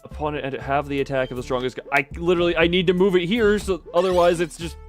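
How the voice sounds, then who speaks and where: A man giving casual live commentary on a game as he streams, thinking out loud and often breaking off mid-sentence. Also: a little high, steady tone, quick pace, soft background music.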